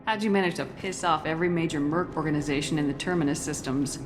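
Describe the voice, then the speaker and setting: A woman's voice: deep voice, quick pace, big-room sound, close to an everyday voice. A woman playing a tough, no-nonsense military commander in a video game, direct and terse. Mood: curious. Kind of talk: video game cutscene acting. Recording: music behind the voice.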